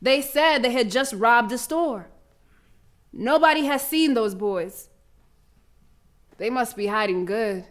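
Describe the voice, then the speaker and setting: High-voiced young schoolgirl, fierce and emphatic. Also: lively intonation, slow, pauses often. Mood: subdued, grieving. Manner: colloquial, emphatic storytelling with dramatic repetition. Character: fierce young schoolgirl runner, proud, stricken by grief. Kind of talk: dramatic first-person monologue, audio play.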